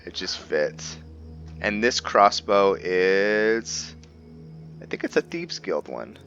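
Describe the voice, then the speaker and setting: Deep-voiced man, slow and halting gameplay commentary. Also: very animated, fairly bright tone, dull audio, soft background music. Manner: halting, thinking aloud with long hesitant pauses. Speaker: gamer host of a roleplay series.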